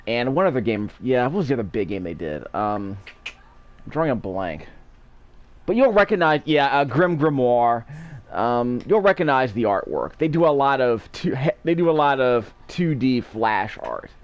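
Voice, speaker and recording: A man's voice: medium pitch, very animated, moderate pace, dry recording. A man casually commentating on a video game as its host, rambling off into asides. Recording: game music behind. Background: video game music.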